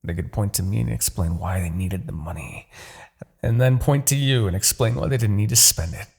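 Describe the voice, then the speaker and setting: A man playing a smug, seductive, manipulative demon king in a fantasy audio roleplay, with a gravelly, husky voice and a taunting, theatrical, condescending delivery. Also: fairly low pitch, brisk, a little mellow. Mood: scornful, persuasive. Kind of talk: fantasy ASMR roleplay acting.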